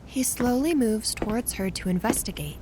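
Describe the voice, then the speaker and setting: A woman's voice: a little high, animated, normal speed, background noise. A woman reading scripted story narration for an audio drama as the narrator. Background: music.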